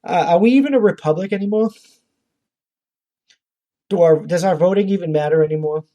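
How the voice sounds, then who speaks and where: Mellow-toned man, blunt political podcast rant. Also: sits high, a little fast. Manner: profane, blunt; indignant, exasperated. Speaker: podcast host. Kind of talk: informal political commentary rant.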